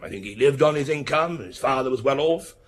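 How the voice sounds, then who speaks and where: Husky upper-class English duke, bluff and indignant, played by a man. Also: mid-range voice, animated, lightly acted. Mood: stiff, hesitant. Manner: halting, clipped old-fashioned upper-class phrasing. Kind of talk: British radio drama acting, giving testimony.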